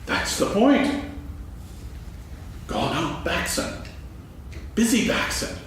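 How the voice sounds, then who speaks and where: Very echoey hall, man as ponderous storybook owl, pompous, absentminded, hugely expressive pitch swings. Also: slow pace, full character voice. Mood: self-important. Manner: pompous, ponderous, hesitant bluffing. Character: pompous, absentminded old owl pretending to be wise. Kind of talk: theatrical staged reading of a children's story. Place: on stage in a hall, recorded from afar. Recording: echoey hall, distant, faint noise.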